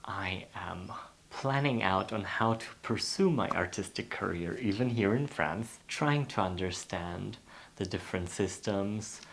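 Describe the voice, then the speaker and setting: A man's voice: deep voice, a little slow, vocal fry, a bit bright. A man from Poland who grew up in Germany, talking earnestly to the camera and encouraging viewers, sometimes dropping to a whisper. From Poland, raised in Germany. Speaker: vlogger and B and B owner. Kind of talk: reflective vlog talk to camera.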